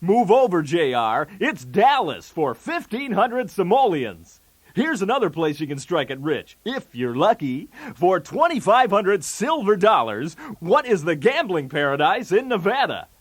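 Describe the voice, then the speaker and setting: A man's voice: sits high, very animated, a little slow, full character voice. A man playing a brash, wisecracking 1980s TV game show host with showy, punchy patter. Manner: showy, jokey, with punchy delivery of gags.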